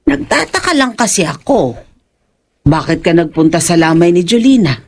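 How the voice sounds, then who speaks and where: A woman's voice: lowish voice, very animated, normal speed, slightly rough, exaggerated voice. A woman acting as a suspicious, persistent older aunt in an audio horror drama, questioning insistently. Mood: probing.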